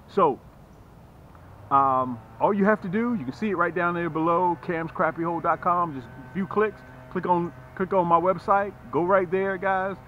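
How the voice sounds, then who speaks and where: A man hosting a fishing channel, giving a casual, folksy sales pitch to camera and repeating phrases for emphasis. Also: normal speed, narrow, tinny audio, a little hiss or hum. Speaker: fishing channel host and tackle seller.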